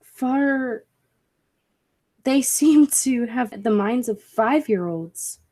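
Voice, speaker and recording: A woman's voice: on the high side, lively intonation, extremely slow, mellow, exaggerated voice. A woman playing a skeptical, intense professor of science, speaking earnestly and emphatically in a 1940s-style radio play. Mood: dismayed. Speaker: amateur drama club actor.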